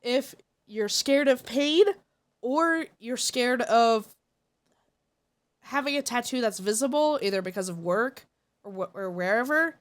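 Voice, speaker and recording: A woman's voice: on the high side, animated, measured pace. A congested woman streamer chatting casually and giving blunt, opinionated takes. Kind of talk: casual live-stream chat.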